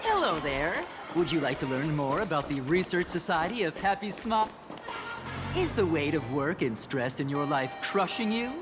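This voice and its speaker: An actress playing a serene, persuasive recruiter for a suspicious society in a video game, with a soothing, polished and piously theatrical delivery. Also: female voice, big pitch swings, normal speed. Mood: inviting, reassuring. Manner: soothing, polished sales pitch with theatrical piety. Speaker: game character, cult recruiter. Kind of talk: scripted game voice acting. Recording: game music under the voice.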